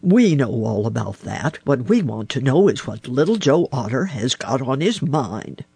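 A reader voicing a testy old grandfather frog with a gruff voice in an animal storybook. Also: very animated, moderate pace, darkish tone, no room sound, full character voice. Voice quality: deep, gruff.

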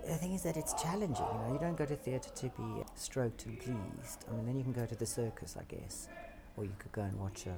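A South African woman speaks with an unusually low, dark-pitched voice. She talks casually and reflectively, drifting now and then into soft asides. Clear background noise sits under the recording. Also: brisk. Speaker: theatre maker, interviewee. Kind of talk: casual conversational interview.

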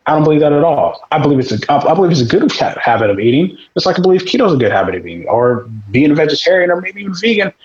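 A man's voice: fairly high pitch, very animated, very fast, few pauses, dull audio. A man in casual podcast chat, speaking bluntly with some swearing and acting out the people he quotes.

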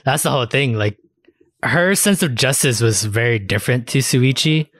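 A man's voice: medium pitch, expressive, moderate pace, a bit bright, dry recording. A man talks casually as a podcast co-host, in a mid-range voice. He chats about games like he is among friends and swears freely. The sound is dry and close, with no room on it. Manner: casually profane.

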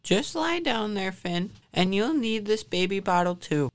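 A woman acting a shrewd, calm giant's wife in a radio drama, coaxing and unflappable. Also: mid-range voice, a little slow, close to an everyday voice. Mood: calmly commanding.

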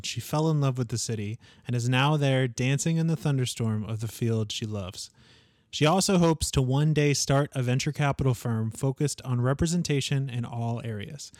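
A man hosting a podcast, reading a measured, earnest scripted introduction over soft music. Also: mid-range voice, dry recording, studio quality. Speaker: podcast host.

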